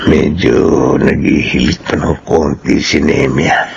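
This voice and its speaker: A man acting a menacing, leering husband, creaky voice. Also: a bass voice, fairly even intonation, takes it slowly, highs cut off, exaggerated voice. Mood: scheming. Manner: leering, gruff and domineering. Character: menacing, lustful husband turned violent intruder. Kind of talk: Filipino drama acting with inner monologue. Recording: music loud behind the voice.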